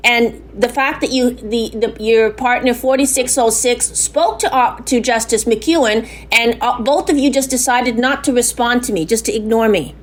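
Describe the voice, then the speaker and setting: Loud, insistent high-voiced woman caller. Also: moderate pace, hardly any pauses. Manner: insistent, repeats herself, talks over the other side. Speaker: caller making a complaint. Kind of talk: heated phone argument. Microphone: phone call.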